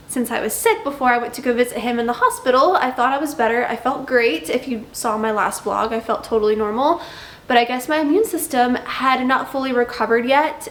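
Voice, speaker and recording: A woman's voice: a little high, echoey room. A young woman vlogging in a chatty, bubbly way, her voice still a little nasal from congestion. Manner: bubbly, chatty, drawn-out emphasis. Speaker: lifestyle vlogger. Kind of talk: chatty vlog talk to camera.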